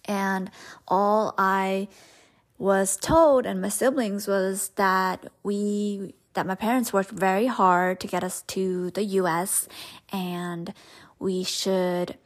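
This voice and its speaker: A woman's voice: mid-range voice, steady tone, slow. A young woman, Hmong her first language, hosting a podcast and telling her own story in a candid, rambling way with many fillers. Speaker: podcast host. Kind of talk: personal storytelling monologue.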